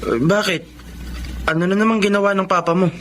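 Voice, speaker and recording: An actor playing a caring younger brother, a student, in a radio drama, speaking earnestly with a Filipino accent. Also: male voice, sits high, fairly even intonation, a little fast, a bit bright. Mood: inquiring. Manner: earnest, concerned. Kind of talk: Tagalog radio drama acting. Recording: faint background noise.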